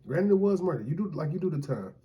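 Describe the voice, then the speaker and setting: A man chatting casually with his wife, laying out his view in a relaxed, reasoning way. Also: a little high, fast talker, highs cut off. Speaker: co-host, husband. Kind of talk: casual chat.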